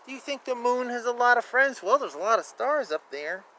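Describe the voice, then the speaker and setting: High-voiced man reading playfully to children. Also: animated, fairly quick, sharp tone, rough recording. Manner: playful, exclaiming, chatty. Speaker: children's picture book reader. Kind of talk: animated read-aloud with asides to young children. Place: outdoors. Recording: outdoor ambient noise, faint. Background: faint outdoor ambience.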